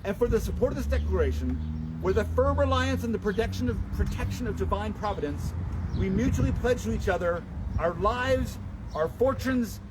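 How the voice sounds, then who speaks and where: A man giving a solemn, dramatic public reading of a historical document, with deliberate, declamatory phrasing. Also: high-pitched, lively intonation. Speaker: public reader and livestream host. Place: outdoors at a town memorial. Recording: noisy outdoor livestream, traffic and street sounds.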